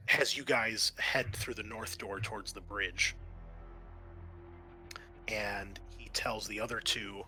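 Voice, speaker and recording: Male game master, lowish pitch, very bright and forward voice, halting improvised narration over faint music.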